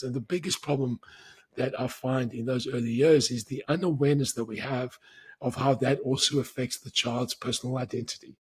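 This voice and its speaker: Man, very raspy voice, podcast host, measured explaining. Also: medium pitch. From grew up speaking Portuguese. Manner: measured, repetitive explaining with restarts. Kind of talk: solo explanatory talk.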